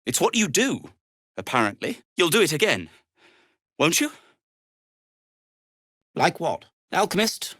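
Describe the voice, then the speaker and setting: An actor playing an earnest, worried male deep gnome tinkerer in a video game, with a dry, wordy and formal manner. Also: sing-song, measured pace, pauses often, sharp tone, dry recording, exaggerated voice. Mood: earnest, pleading, then wry, proud. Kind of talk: video game character dialogue.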